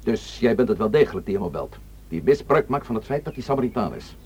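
Quick, firm police inspector. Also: male voice, fairly low pitch, big pitch swings, darkish tone, husky, exaggerated voice. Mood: probing, stern. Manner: authoritative, clipped, questioning. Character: firm, probing male police inspector in command. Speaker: actor. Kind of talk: Dutch radio play acting.

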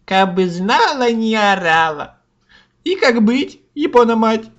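A man's voice: sits high, big pitch swings, slow pace, fairly bright tone, muffled recording, pitched above the performer's own voice, heavily acted. A man voicing an exasperated, blustering tsar who scolds in crude folksy rhyme, in a comic fairy tale. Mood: exasperated scolding. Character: exasperated, blustering male tsar, father of a teenage girl.

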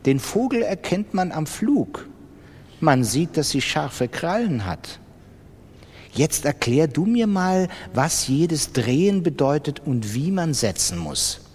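A man voicing an imperious, excitable old grandmother who fires off impatient questions and commands in a literary reading. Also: on the high side, slow, husky, exaggerated voice. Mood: curious, shrewd. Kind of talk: dramatized literary audiobook reading. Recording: long reverberant hall.

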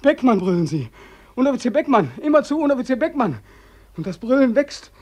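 An actor playing a haunted, sleepless young ex-sergeant in a German radio play, speaking with insistent, feverish intensity in a husky voice. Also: male voice, very high-pitched, sing-song, fast talker, darkish tone, heavily acted. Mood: anguished, crescendo. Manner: intense, urgent, insistent repetition.

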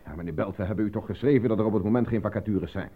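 A man's voice: on the low side, racing, natural voice. A man acting as an evasive, formal casting agent who talks down to a client in a radio drama. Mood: patronizing, evasive. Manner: formal, condescending, bureaucratic. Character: evasive, patronizing male casting agent stonewalling an unemployed actor. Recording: thin phone-like old radio recording.

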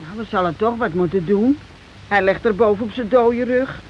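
An actress in a Dutch radio play playing a middle-aged, put-upon housewife, plaintive and passive yet stubbornly answering back. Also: female voice, medium pitch, normal speed, husky, natural voice. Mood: worried, resigned. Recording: muffled, hissy old radio recording.

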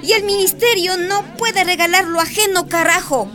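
Feisty rural matriarch type, a blunt villager, very high pitch, music bed. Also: female voice, moderate pace. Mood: defiant. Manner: blunt, sharp-tongued.

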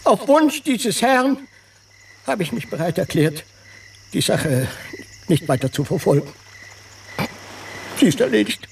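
An actor playing a pompous, indignant gentleman who speaks formally and huffily about his honour in a German radio play. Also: high voice, expressive, measured pace, gravelly, pauses often, distant mic, a little hiss or hum, exaggerated voice, rough recording.

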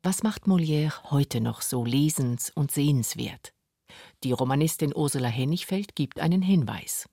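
Creaky-voiced documentary narrator, mid pitch. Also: lively intonation, moderate pace, mellow, no echo, studio quality.

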